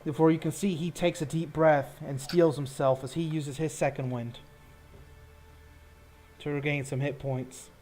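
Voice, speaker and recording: A man's voice: a little high, steady tone, measured pace, darkish tone. A man narrating a tabletop fantasy game as game master, describing action in a conversational, improvised way. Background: background music.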